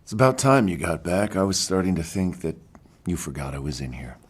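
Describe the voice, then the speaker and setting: A man's voice: sits low, big pitch swings, brisk, slight background noise. An actor playing a smug, controlling male suspect in an audio drama, curt and defensive under interrogation. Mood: smug, cool. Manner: curt, defiant.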